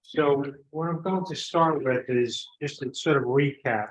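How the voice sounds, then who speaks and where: A man's voice: medium pitch, measured pace, muffled recording. A man giving an informal, explanatory presentation of a plan at a board meeting. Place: meeting room. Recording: echoey room with background noise.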